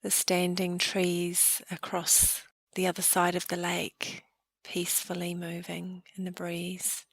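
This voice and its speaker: Female meditation guide: very slow, even delivery, gentle and calm, soft phrase endings. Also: lowish voice, vocal fry, husky, no echo.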